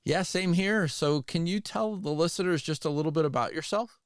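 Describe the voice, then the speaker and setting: A man with a clean, smooth voice hosts an interview podcast. The sound is dry, with no room around it. He speaks as a presenter introducing the show and its guest. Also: a little high, normal speed, dry recording. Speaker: podcast host, life sciences consultant.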